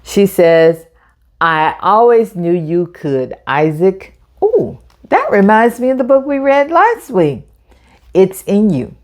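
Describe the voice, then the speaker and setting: Slow-paced, encouraging woman storyteller. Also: mid-range voice, a little mellow. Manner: encouraging, addresses young listeners directly, asks questions. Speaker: host of children's read-aloud storytime. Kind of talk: children's storytime, teacherly retelling with questions.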